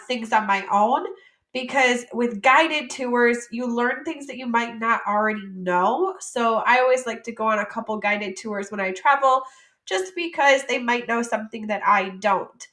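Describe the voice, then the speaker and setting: A woman from the United States teaching French vocabulary, saying each word slowly and then explaining it in casual English. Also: on the high side, fairly bright tone, echoey room. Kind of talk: vocabulary lesson.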